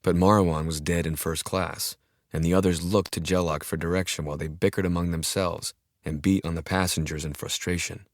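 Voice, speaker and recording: Deep-voiced male thriller audiobook narrator. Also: steady tone, fairly quick, no echo, clean studio sound. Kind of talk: dramatic scripted thriller narration. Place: dry studio, close mic.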